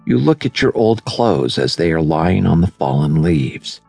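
A man narrating a scripted guided meditation in a soothing, measured, unhurried manner. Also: deep voice, very animated, vocal fry, mellow, husky, no echo. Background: background music.

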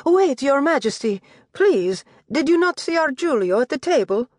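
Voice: sits high, sing-song, normal speed, darkish tone, no room sound, voice pushed up. A narrator voicing a doting royal mistress who coaxes her son with sweet Italian endearments, in a dry, close sound. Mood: pleading. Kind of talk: audiobook character voice.

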